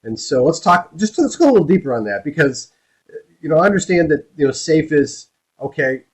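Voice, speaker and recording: A man hosting an interview in a casual, conversational way, with halting, rambling phrasing as he works toward his question. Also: fairly high pitch, very animated, slightly rough, rough recording. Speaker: interview host.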